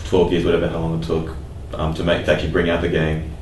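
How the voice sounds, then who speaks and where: A man's voice: rumbling low, monotone, fast. A man talking casually in a group chat on a podcast. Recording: echoey, distant, faint background noise.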